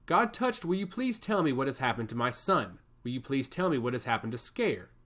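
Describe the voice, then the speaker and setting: Casting: male narrator as an anxious but commanding fantasy queen, earnest and pressing, expressive intonation. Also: medium pitch, a little fast. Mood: pleading. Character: worried, determined queen and mother.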